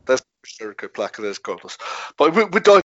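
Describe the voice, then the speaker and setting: A man's voice: mid-range voice, very animated, fairly quick, dry recording, highs cut off. A man from Birmingham in casual chat on a video call, telling rambling anecdotes and laughing easily. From Birmingham, England.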